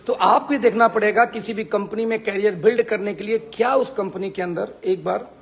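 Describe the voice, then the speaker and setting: A man with a hoarse, gravelly voice that sits high for a man. He leads a sales team and gives an emphatic, pep-talk style live stream, hammering points home by repeating them. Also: fairly even intonation, fairly quick. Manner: emphatic, repeats phrases for effect. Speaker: network marketing team leader. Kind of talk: motivational Facebook live talk.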